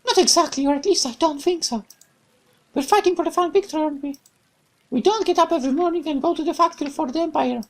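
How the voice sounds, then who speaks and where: A woman's voice: sits high, fast, voice pushed up. A woman voicing a bubbly, cheerful young woman companion in a visual novel, with a raised, playful, exclamatory voice.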